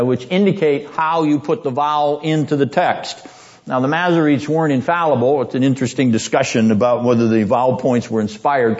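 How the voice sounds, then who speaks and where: A man teaching a seminary class on biblical poetry, deliberate and emphatic, repeating his points and often clearing his throat. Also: medium pitch, darkish tone. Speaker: seminary lecturer. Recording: dull lo-fi classroom recording.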